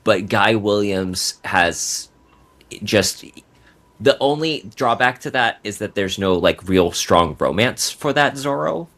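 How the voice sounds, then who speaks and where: A man's voice: fairly low pitch, animated, takes it slowly, fairly bright tone. A man giving considered, articulate opinions in a casual podcast discussion.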